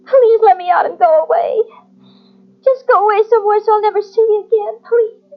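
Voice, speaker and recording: A woman's voice: very high-pitched, fairly quick. A woman playing a wary, frightened gangster's wife in an old radio drama, cool and then pleading. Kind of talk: 1940s radio acting. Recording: muffled old radio tape.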